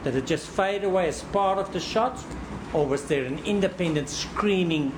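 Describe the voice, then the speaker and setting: A man cross-examining a witness in court, deliberate and probing, often repeating words as he frames a question. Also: high voice, background noise.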